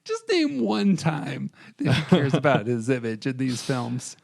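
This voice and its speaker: A man's voice: medium pitch, expressive, unhurried, vocal fry, a little mellow. A man co-hosting a podcast in casual debate, bantering in a self-deprecating way. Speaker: podcast co-host.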